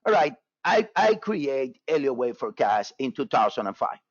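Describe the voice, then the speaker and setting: A man's voice: medium pitch, sing-song, normal speed, no room sound. Cuban-born guy running a trading webinar, keeps restarting, dry muffled sound. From Cuba. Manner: casual, repetitive, filler-heavy with many restarts. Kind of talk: live webinar talk.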